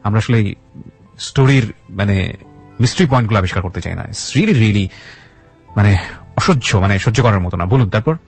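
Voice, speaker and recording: Male radio host, Bangladeshi Bengali accent, quick and husky, English words mixed in, interview style. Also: fairly low pitch, lively intonation, faint music behind. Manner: mixes English words into his talk.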